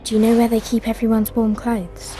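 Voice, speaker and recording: A woman's voice: a little high, monotone, a little fast, natural voice. An actress playing a brave young girl who talks in a decisive, conspiratorial way in a video game cutscene. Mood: hushed urgent.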